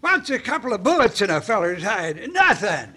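Husky, high-pitched cantankerous old mountain man. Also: sing-song, normal speed, bright, forceful tone, full character voice. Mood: stubborn, indignant. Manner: folksy frontier talk, stubborn and blustering. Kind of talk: old-time radio western acting.

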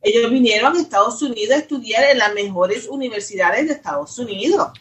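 A woman speaks in a slightly high voice. She talks quickly and insistently in a casual group chat, pressing her point and cutting in over the others. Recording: video call.

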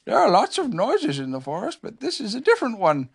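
A storybook reader voicing a small, gentle toy bear who is sleepy, polite and a little puzzled, speaking hesitantly. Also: mid-range voice, wildly swooping pitch, brisk, creaky, breathy edge, voice pushed up, heavily acted. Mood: puzzled musing. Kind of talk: children's storybook character reading.

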